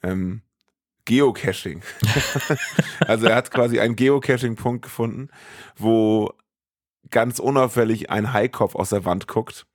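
A man's voice: fairly low pitch, a little slow, no echo, studio quality. A man co-hosting a podcast in casual chat, playful and rambling, often interrupting himself. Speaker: podcast co-host.

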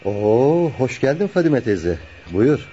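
An actor playing a kind, respectful young friend in a radio play, courteous and reassuring. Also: male voice, medium pitch, big pitch swings, takes it slowly, warm tone, slight background noise. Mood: welcoming. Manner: courteous, hospitable, soothing. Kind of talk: Turkish radio theatre acting. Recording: dull lo-fi radio drama recording.

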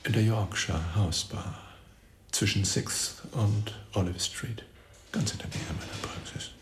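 An actor playing a suave, evasive middle-aged doctor in a radio drama, speaking formally and precisely in a gravelly voice that fades into a murmur. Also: male voice, fairly low pitch, faint music behind, close to an everyday voice. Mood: smooth, candid. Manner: formal, precise, pompous wording with deliberate pauses. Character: suave evasive doctor confessing under police questioning. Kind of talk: radio drama acting, monologue testimony. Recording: roomy echo, faint background noise.

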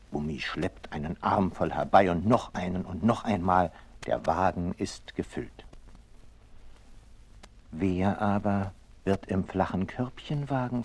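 A man narrating a scripted children's story in a measured, expressive way. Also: sits low, slightly rough.

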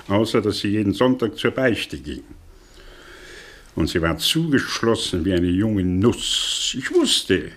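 Proud scornful professor, husky, deliberate, sweeping intonation, halting radio-drama delivery. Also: male voice, medium pitch, fairly bright tone, exaggerated voice. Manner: halting, searching, rhetorical, theatrical emphasis. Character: proud, scornful, brooding intellectual professor confessing an old obsession. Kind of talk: German radio play acting, 1960.

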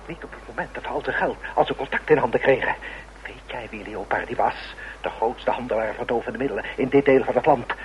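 An actor playing a domineering, menacing criminal older brother in a radio play, bullying and insistent. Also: male voice, medium pitch, brisk, natural voice. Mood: bitter, scolding. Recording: noisy old radio drama recording.

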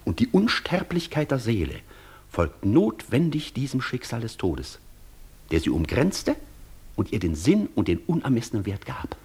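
An actor playing an intense, persuasive professor in a German radio play, declaiming and lecturing insistently with a husky voice. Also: male voice, medium pitch, sing-song, moderate pace, darkish tone, full character voice. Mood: fervent, grave. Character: intense, persuasive male professor tempting the Devil. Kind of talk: German radio play acting, long rhetorical speeches.

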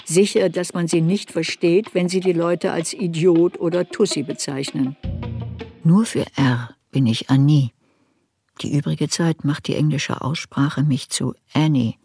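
A woman reading scripted literary narration for a radio play in a measured, reflective way. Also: low-pitched, fairly even intonation, warm tone, husky, no room sound, soft background music.

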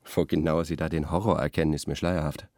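An actor playing a bemused, understated arts expert talking deliberately as a studio guest in a radio play. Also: male voice, extremely low pitch, flat delivery, a little mellow, no room sound. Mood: bemused, hesitant.

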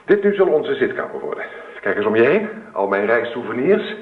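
An actor playing an eager young Dutch husband in a radio play, stubborn, dreamy and tender. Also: male voice, mid-range voice, big pitch swings, fairly quick. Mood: eager, proud. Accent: Netherlands Dutch. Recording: roomy, tinny phone-like radio recording.

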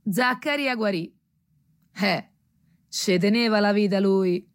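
A woman's voice: mid-range voice, slow pace, close to an everyday voice. A woman playing an earthy, wry townswoman telling of an epidemic in colloquial Roman-accented speech for a radio drama. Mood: wry, gossipy. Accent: central Italian, Roman. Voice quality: colloquial, dialect-coloured delivery. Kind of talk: theatrical storytelling in radio drama. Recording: music under the voice.

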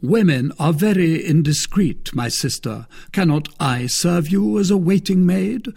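A man reading the part of a cold, contemptuous English nobleman, measured and mocking. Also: a little high, lively intonation, vocal fry, a little mellow, husky, voice pushed up, heavily acted. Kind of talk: audiobook character reading.

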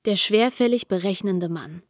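An actress plays a shrewd woman narrator in a radio literary drama. Her medium-pitched voice is recorded dry, and she delivers with pointed, deliberate phrasing. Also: dry recording, natural voice.

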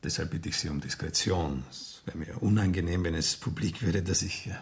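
An actor playing a young, condescending but polite count in a radio drama, genteel and a little hesitant. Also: male voice, low voice, fairly quick, vocal fry. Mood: confidential. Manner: genteel, hesitant, with tag questions. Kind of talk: German radio drama acting.

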